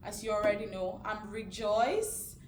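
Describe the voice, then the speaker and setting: A woman's voice: medium pitch, measured pace. A young woman from Nigeria hosting a casual group chat, talking chattily with lots of fillers. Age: young adult. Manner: chatty, lots of "like" and "you know". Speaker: host. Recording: echoey room with background hiss.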